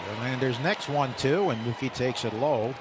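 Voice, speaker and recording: A man calling baseball play-by-play on radio, brisk and rising for big plays. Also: mid-range voice, a crowd in the background, background noise. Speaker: play-by-play announcer.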